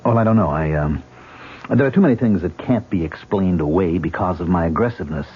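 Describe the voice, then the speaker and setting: An actor playing a tormented man who hears ghost voices in an old radio drama, agitated and husky. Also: low voice, a little fast, slight background noise, close to an everyday voice. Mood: tense. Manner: agitated, swinging between calm and outbursts. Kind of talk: 1970s radio drama acting. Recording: muffled lo-fi old radio broadcast.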